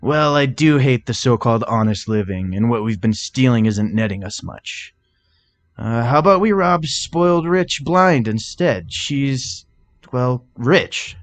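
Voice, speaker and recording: A man's voice: medium pitch, expressive, unhurried, voice pushed up. A man voicing a nervous, gullible unicorn stallion thief, a reluctant sidekick in a cartoon fan story, anxious and hesitant. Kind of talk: cartoon fan fiction character voice.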